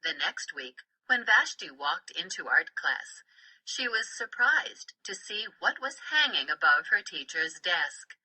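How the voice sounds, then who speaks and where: A woman narrates a children's story with a very bright, crisp-edged tone. Her pitch sits a little low for a woman, and she reads slowly and deliberately, with expression. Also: big pitch swings, poor audio. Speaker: storybook reader.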